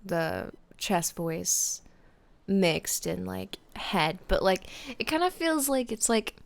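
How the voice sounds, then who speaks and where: A woman's voice: medium pitch, sing-song, takes it slowly, creaky. A young woman streamer chatting casually with viewers, rambling with lots of fillers and restarts. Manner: chats with viewers by name. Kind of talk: casual live-stream chat.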